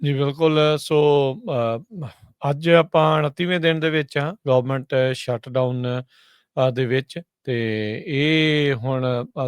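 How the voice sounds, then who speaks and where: A man giving unscripted news commentary as a radio co-host, halting and repeating filler phrases. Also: mid-range voice, smooth, no room sound, studio quality.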